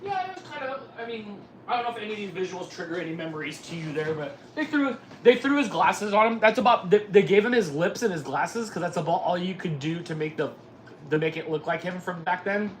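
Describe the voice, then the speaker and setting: A man's voice: sits high, expressive, a little fast, low-quality recording. A man in his forties hosting a livestream, chatting casually with viewers in a rambling, teasing way, with noise behind him. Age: 40s. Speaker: livestream host. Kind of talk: casual chat with live viewers.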